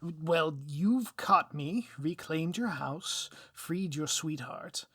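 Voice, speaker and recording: Man acting as a melodramatic criminal mastermind for audio roleplay, gloating and grandiose, higher-pitched, very dry recording. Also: lively intonation, fairly bright tone, breathy edge, no echo, exaggerated voice. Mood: theatrical, pleading. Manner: grandiose, melodramatic, drawn-out emphatic delivery. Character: gloating theatrical male supervillain mastermind, melodramatic and scheming.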